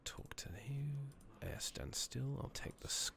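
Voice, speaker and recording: A man doing soft-spoken, partly whispered ASMR commentary over a video game, rambling casually with mild swearing, on a noisy makeshift microphone. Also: medium pitch, lively intonation, takes it slowly. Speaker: game streamer playing an online fantasy game. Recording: noisy makeshift microphone, clear background noise.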